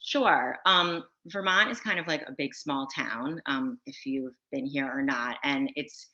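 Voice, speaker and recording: A woman on a video-call panel, answering in a halting, casual way with many restarts and fillers. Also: rumbling low, sharp tone. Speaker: brand founder on a panel. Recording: dull lo-fi audio.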